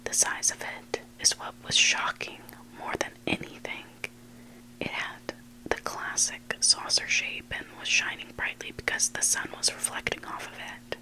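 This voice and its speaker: A person reading stories aloud in a breathy, hushed ASMR whisper, close to the mic. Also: measured pace, poor audio. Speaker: host reading listener-submitted stories. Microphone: close mic, made for headphones.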